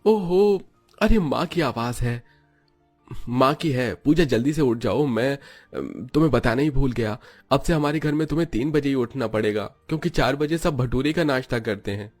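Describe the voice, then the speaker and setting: A man's voice: medium pitch, normal speed, a little mellow, soft background music, voice pushed up, natural voice. A man voicing a mild, dutiful young husband in a cartoon story. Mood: sheepish.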